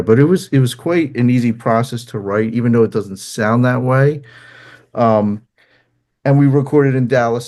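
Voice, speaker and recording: Low-voiced New Jersey man, casual and rambling. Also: darkish tone. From New Jersey, United States. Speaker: musician and songwriter, interview guest. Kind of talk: casual interview conversation.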